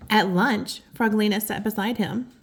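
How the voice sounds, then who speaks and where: A woman reading a picture book aloud to children, affectionate and expressive, with chatty asides and exclamations. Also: mid-range voice, moderate pace, husky. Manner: affectionate, gasps and exclaims in reaction to the story. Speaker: children's story reader and host.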